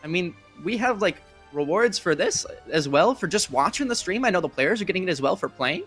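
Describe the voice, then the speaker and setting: A man's voice: high voice, expressive, a little fast, a bit bright, slightly rough, soft background music. A man hosting an esports broadcast in casual, rambling live desk chat, jokey, self-deprecating and enthusiastic. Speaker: esports broadcast host and caster.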